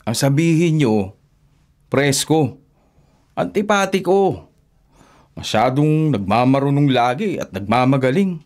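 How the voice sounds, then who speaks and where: An actor playing a distrustful, indignant son of thirty in a Filipino radio drama, grumbling and emphatic. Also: male voice, mid-range voice, animated, a little slow, long pauses, a little mellow, lightly acted. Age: 30s. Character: distrustful, indignant thirty-year-old son, a bank guard.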